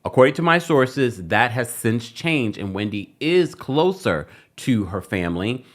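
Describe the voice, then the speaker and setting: An American man hosting an entertainment news commentary show, speaking with emphatic, deliberate phrasing. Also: mid-range voice, big pitch swings. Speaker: YouTube host, pop culture commentator.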